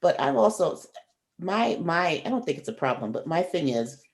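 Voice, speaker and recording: A woman's voice: fairly low pitch, normal speed. Proper-speaking woman guest from Chicago. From Chicago, US Midwest. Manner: proper, careful speech, animated storytelling. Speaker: podcast guest, author. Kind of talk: casual chat.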